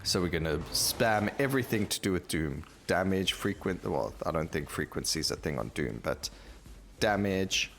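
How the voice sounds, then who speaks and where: Very deep-voiced, gravelly man commentating gameplay. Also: animated, moderate pace, fairly bright tone, slight background noise. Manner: rambling, thinking aloud while playing. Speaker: gaming video host. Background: game music.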